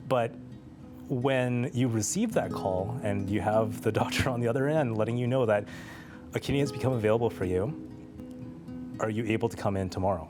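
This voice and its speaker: A man telling a personal story as an interview guest, speaking in a reflective, measured way with pauses. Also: on the low side, music in the background. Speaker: interview guest, transplant patient.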